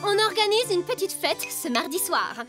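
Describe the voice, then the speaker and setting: A woman voicing a prim, snooty neighbouring pet in an animated cartoon. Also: extremely high pitch, normal speed. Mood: polite, snooty. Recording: music behind.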